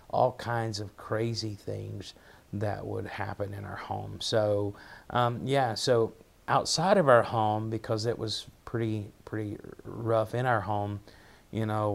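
A middle-aged man with an Appalachian accent, telling personal stories as a guest in an interview, halting and reflective, often repeating words. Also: fairly low pitch, vocal fry. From southwest Virginia, United States. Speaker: pastor, podcast guest.

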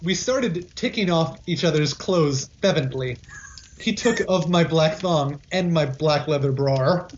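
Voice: mid-range voice, a little slow. A podcast narrator giving a comic, mock-earnest dramatic reading of a story. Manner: mock-earnest, keeps misspelt words as written. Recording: muffled, faintly noisy podcast recording.

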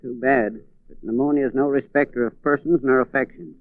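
An actor playing a polite, mildly suspicious family doctor, measured and formal, in 1940s radio acting style. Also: male voice, medium pitch, fairly even intonation, no echo, close to an everyday voice. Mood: solemn, questioning. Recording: muffled vintage 1940s radio recording.

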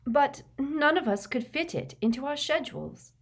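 A woman's voice: high voice, lively intonation, normal speed, pitched above the performer's own voice. A woman voicing an anxious, timid, well-meaning teenage schoolgirl in an audiobook, speaking in a nervous, hesitant, tremulous way. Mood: timid, pleading.

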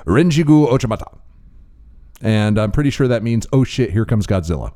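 A man with a somewhat low voice teases his co-host in a dry, joking way. His pitch still swings widely as he talks through the banter. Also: expressive, a little fast, dark tone. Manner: dry, teasing. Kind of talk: casual banter.

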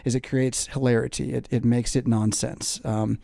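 A man who is a pastor co-hosting a podcast, rambling and thinking out loud in a casual theological discussion. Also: mid-range voice, flat delivery, normal speed, vocal fry, no echo, clean studio sound.

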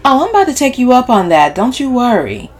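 A woman narrator voicing a brash, sharp-tongued, scornful woman in an audiobook story, with sassy and profane delivery. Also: on the high side, sing-song, fairly quick, pitched above the performer's own voice. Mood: indignant.

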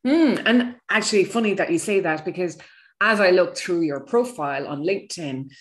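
A woman's voice: lowish voice, animated, moderate pace. A woman hosting a podcast, putting questions to her guest in a conversational interview style.

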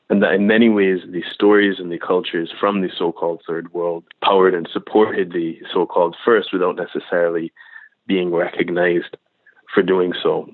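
Deep-voiced man on a tinny phone line. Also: dry recording. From Barbados. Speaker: filmmaker guest. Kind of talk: reflective interview answers.

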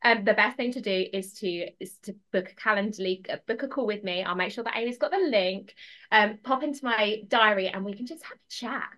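A woman's voice: mid-range voice, fairly quick, fairly bright tone. A woman speaking as a podcast guest and mentor, in casual coaching talk with an emphatic, encouraging manner.

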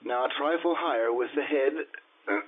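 An actor playing a morbid, tormented aristocrat slipping into madness, brooding and intense in an old-time radio horror style. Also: male voice, mid-range voice, moderate pace, natural voice. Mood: morbid tenderness. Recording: muffled, band-limited radio recording.

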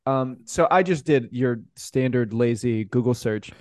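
A man's voice: medium pitch, a little slow, dry recording, studio quality. A man co-hosting a podcast in casual, amused conversation about soccer. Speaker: podcast co-host.

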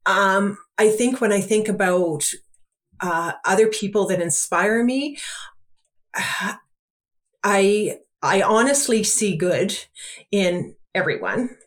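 A middle-aged woman guest on a podcast, speaking in a measured, reflective way. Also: mid-range voice, lively intonation. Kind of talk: casual interview chat.

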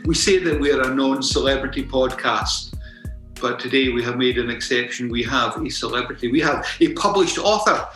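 A man's voice: medium pitch, echoey room, rough recording. A man with a Scottish accent hosting a podcast and interviewing a guest in a friendly, teasing, chatty way. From Scotland. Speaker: podcast host. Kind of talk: casual interview chat.

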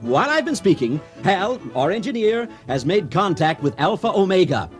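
An actor playing a pompous, effusive storyteller and ceremony announcer in a mock-dramatic radio play. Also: male voice, sits high, sing-song, normal speed, soft background music. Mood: grand, excited. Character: grandiose, excitable male storyteller and ceremony announcer.

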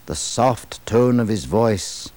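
An American man narrating a dramatized religious story in a solemn, theatrical, measured old-radio style. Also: lowish voice, lively intonation, a little mellow. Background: music.

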